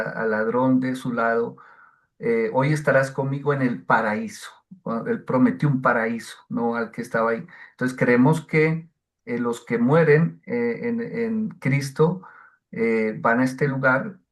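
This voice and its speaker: Male bible teacher on a video call, slow, hesitant, lots of ums and restarts. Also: mid-range voice. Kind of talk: answering questions in an online class.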